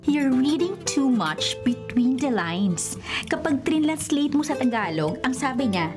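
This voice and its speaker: A woman's voice: sits high, very animated, a little slow, over music. A woman acting a skeptical young friend in a Filipino radio romcom, correcting in a matter-of-fact way.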